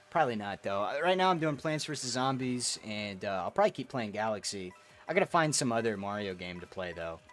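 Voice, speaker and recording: Animated, foul-mouthed man ranting. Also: a little high. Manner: profane, rambling, ranting. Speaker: gaming streamer. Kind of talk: casual rambling stream chat.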